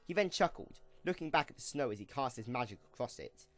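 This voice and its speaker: Male narrator, dramatic storytelling, lively pitch swings, mid-range voice, music underneath. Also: fast talker.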